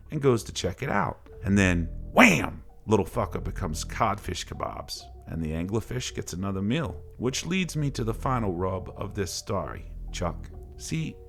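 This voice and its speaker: A man narrating a creepy first-person tale like a salty, colloquial barroom yarn, dramatic and gravelly, with swearing. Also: lowish voice. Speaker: horror story narrator. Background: faint ambient background music.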